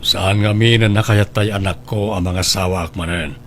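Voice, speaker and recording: An actor with an Ilocano accent playing a soft-spoken, apologetic middle-aged widowed father who likes the ladies, in a radio drama. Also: deep voice, fairly even intonation, normal speed, slight background noise, natural voice. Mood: apologetic, firm.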